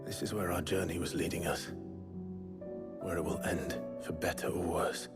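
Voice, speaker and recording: An actor playing a weary, resolute warrior leader in a video game cutscene, gruff but tender, low and breathy. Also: male voice, little pitch movement, normal speed, over loud music. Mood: solemn, hushed.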